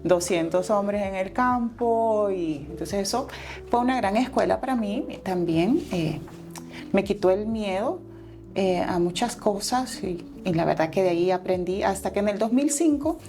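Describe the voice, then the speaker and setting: A woman's voice: mid-range voice, expressive, measured pace, a little mellow, soft background music. A Salvadoran woman in her forties, a guest entrepreneur telling her life story in an interview, earnest and reflective, with fillers and self-corrections.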